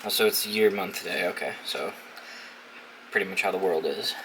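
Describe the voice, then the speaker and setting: A young American man with a fairly low voice talks through a gadget setup in a loose, informal way, thinking aloud and swearing freely. The recording has clear noise behind him. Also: normal speed, pushed and bright, poor audio. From New England, United States. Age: young adult. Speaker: video host reviewing a gadget. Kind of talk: casual unboxing and setup commentary. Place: dorm room.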